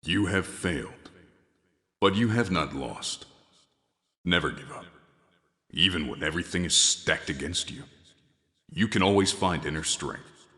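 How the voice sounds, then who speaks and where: An actor playing a stern, forceful mentor in an audio drama, intense and solemn, with a long echoing reverb on the voice. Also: male voice, low voice, lively intonation, measured pace, pauses often, heavily acted. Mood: solemn, encouraging.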